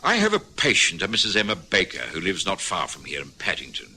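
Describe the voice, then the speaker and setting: An actor with a British accent playing a courteous, slightly hesitant middle-aged doctor who also narrates, in a vintage radio drama. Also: male voice, deep voice, wildly swooping pitch, fairly quick, pushed and bright, a little hiss or hum, heavily acted. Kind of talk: 1950s-60s radio drama acting.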